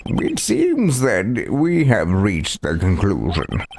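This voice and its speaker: A man voicing an elderly courtroom judge from a video game, stern yet easily flustered, with halting, ponderous delivery. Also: fairly low pitch, huge pitch swings, gravelly, a little mellow, slight background noise, full character voice. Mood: solemn. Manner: halting, stammering, ponderous pronouncements. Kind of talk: dramatic reading of a video game. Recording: game music behind the voice.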